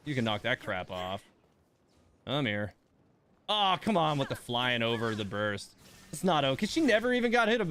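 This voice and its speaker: A man's voice: mid-range voice, fairly bright tone, a little hiss or hum. A man giving casual live game commentary, thinking aloud in broken, hesitant phrases. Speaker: game livestream host.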